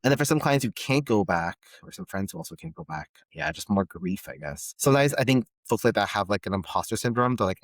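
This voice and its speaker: A man from Palestine, a guest on a podcast, speaking thoughtfully and pausing often to search for words. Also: low voice, no echo. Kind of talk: reflective conversation.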